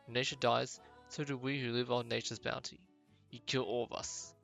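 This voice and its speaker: A man's voice: medium pitch, flat delivery, normal speed, gravelly, a bit bright, faint music behind. A man voicing a protective, superstitious islander in a fantasy game, speaking with solemn emphasis. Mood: stern, solemn. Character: protective, superstitious islander guarding ancestral statues. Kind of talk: amateur game dialogue voicing.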